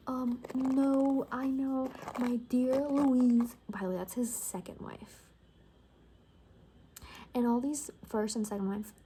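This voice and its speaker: A woman speaks softly in a relaxed, mellow voice that often slips into a whisper. She tells sassy, sarcastic gossip like a chatty survivor in an ASMR roleplay. A light layer of background noise sits behind her. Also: fairly high pitch, low-quality recording. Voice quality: soft, often dropping to a whisper. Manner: casual, sarcastic, gossipy storytelling. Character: sassy gossipy female apocalypse survivor giving a haircut.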